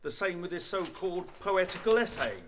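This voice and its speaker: A man's voice: high-pitched, lively intonation, fairly quick, fairly bright tone, slight background noise. An actor playing an indignant male college don in a radio play, scornful and blustering. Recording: echoey hall with long reverb.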